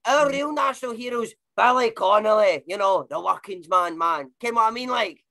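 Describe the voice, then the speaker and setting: A woman's voice: mid-range voice, normal speed, vocal fry, sharp tone. An actress playing a chatty, blunt, sweary Glaswegian woman with slangy matey talk in an audio comedy. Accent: Glaswegian Scottish.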